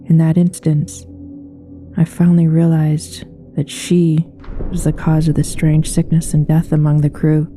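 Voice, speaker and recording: Dark-voiced woman narrating a horror tale. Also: sits low, monotone, a little slow, faint music behind. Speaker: narrator, paranormal storyteller. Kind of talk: scripted horror story narration, read aloud.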